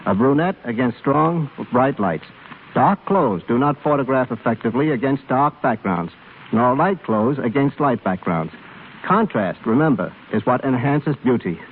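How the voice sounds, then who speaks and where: A man's voice: mid-range voice, expressive, normal speed. A man speaking as a guest expert in a scripted 1930s radio interview, explaining things formally and with careful phrasing. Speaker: film cameraman guest. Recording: scratchy, tinny 1930s radio broadcast.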